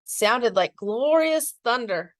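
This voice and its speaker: Higher-pitched woman reading a children's story aloud. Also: lively intonation, takes it slowly, dry recording. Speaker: teacher reading a picture book aloud. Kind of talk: expressive children's read-aloud.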